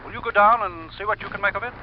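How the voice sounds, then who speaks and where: An actor playing a brisk, courteous male insurance executive in a 1950s radio drama. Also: high-pitched, soft background music, natural voice. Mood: brisk, businesslike. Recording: muffled old radio, faint noise.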